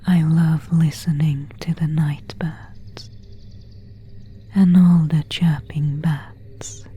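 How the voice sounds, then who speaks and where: Woman playing a slow, whispering vampire seductress. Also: low voice, fairly even intonation, mellow, exaggerated voice. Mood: softly alluring. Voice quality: breathy, unvoiced whisper. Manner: slow, formal, old-fashioned phrasing. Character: seductive, predatory female vampire, courteous and menacing. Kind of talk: whispered ASMR roleplay.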